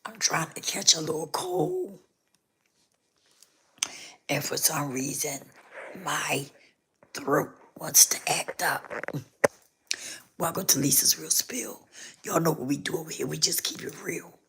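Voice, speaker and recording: A woman hosting a casual live stream, hoarse with a rough, scratchy voice, repeating herself as she talks straight to her viewers. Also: deep voice, big pitch swings, slow, long pauses, a bit bright.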